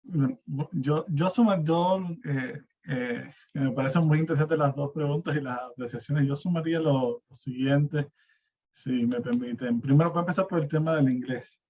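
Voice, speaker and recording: A man's voice: fairly high pitch, moderate pace, a little mellow, lo-fi sound. A man with a Cuban accent speaking as an academic panelist in a spontaneous discussion, hesitating and repeating words as he reasons. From Cuba. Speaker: academic panelist and university teacher. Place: online seminar, remote call.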